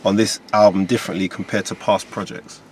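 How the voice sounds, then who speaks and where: A man hosting an interview, asking measured questions and giving short acknowledgements. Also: on the low side, flat delivery, a little hiss or hum. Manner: brief backchannels and measured questions. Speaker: podcast host.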